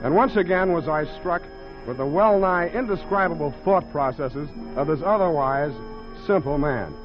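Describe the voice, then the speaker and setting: An actor playing a tough, wisecracking American private detective in sardonic 1940s radio style. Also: male voice, fairly high pitch, moderate pace, over music, natural voice. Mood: wry, narrating. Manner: sardonic, clipped, flowery narration. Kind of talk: 1940s radio acting and narration. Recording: muffled old radio recording.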